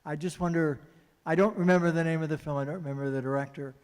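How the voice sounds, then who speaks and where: Audience guy, kinda high voice, rambling question w lots of uhs, big hall echo. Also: steady tone, fast, clear, resonant tone. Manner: rambling, hesitant, with many fillers. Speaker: audience member asking questions. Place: festival panel venue. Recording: hall with long reverb.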